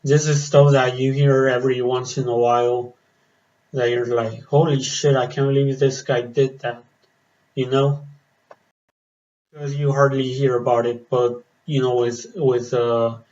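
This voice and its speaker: A man reacting in a casual vlog, slightly raspy from the cold, pausing often with fillers and restarts. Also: medium pitch, a little mellow, dull audio. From Spain. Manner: hesitant, many fillers and restarts. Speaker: metal vlogger. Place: outdoors in the cold.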